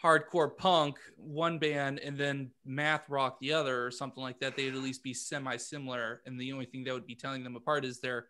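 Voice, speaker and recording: A man's voice: medium pitch, flat delivery, a little fast, few pauses, pushed and bright. A man talking casually as a panelist in a podcast discussion.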